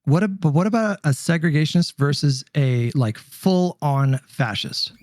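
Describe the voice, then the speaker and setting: A man's voice: a little high, normal speed, darkish tone, dry recording, studio quality. A man in a heated political debate on a livestream, pressing questions in an insistent, indignant manner.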